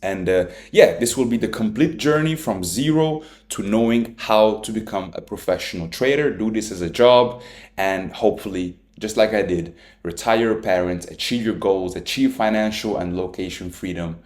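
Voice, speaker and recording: Earnest young man, low voice, echoey room. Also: moderate pace, darkish tone. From Italy. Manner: earnest, repetitive, self-taught English with small slips. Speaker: trading educator. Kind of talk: casual talking-head lecture.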